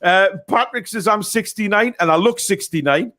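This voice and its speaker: A man with a Geordie accent hosting a live football watchalong, reacting loudly and reading viewer comments aloud. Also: high voice, moderate pace. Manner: excitable, laughs often, reads chat aloud. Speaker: host. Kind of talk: casual live watchalong chat.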